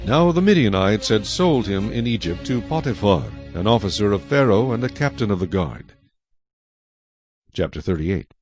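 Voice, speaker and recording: A man's voice: on the low side, lively intonation, normal speed, darkish tone, faint music behind. A man reading scripture as the narrator of a dramatized audio Bible.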